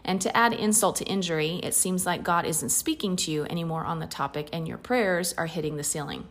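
A woman's voice: on the low side, brisk. Earnest woman hosting a faith podcast. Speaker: podcast host. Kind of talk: faith podcast intro and interview.

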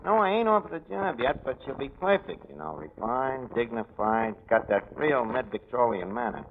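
A man's voice: medium pitch, big pitch swings, normal speed. A man playing a brash, slangy, uneducated tavern manager in an old radio comedy. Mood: wheedling. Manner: slangy, ungrammatical, streetwise. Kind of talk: 1940s radio comedy acting. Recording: muffled, very noisy old radio recording.

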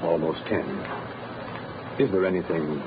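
Deep, grave prison official. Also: male voice, measured pace. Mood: solemn. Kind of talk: 1930s radio drama acting. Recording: muffled old radio recording, clear hiss.

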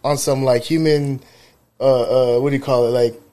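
A man's voice: mid-range voice, steady tone, moderate pace, warm tone. A man in his thirties co-hosting a casual podcast, telling rambling, profane stories full of fillers. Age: 30s. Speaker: podcast co-host, barber.

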